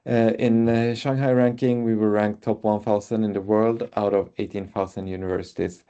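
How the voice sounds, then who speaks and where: A man's voice: lowish voice, level tone, normal speed, warm tone, clean tone. A man with a Swedish accent, a native Swedish speaker, presenting his university in a webinar with many hesitant filler sounds. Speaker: university representative. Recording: muffled webinar audio.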